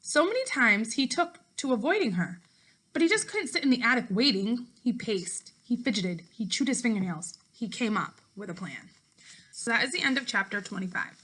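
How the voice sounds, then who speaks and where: A woman with a bright, slightly high voice reads aloud as a teacher would for schoolchildren. Her delivery is clear and expressive, aimed straight at her young listeners. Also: moderate pace, low-quality recording. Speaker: teacher reading a book aloud to fifth graders. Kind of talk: read-aloud storytelling for children.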